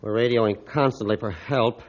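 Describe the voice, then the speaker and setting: A man playing a middle-aged ship's captain, measured, formal and authoritative, in a 1950s radio drama. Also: medium pitch, steady tone, close to an everyday voice. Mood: grave. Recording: dull 1950s radio recording.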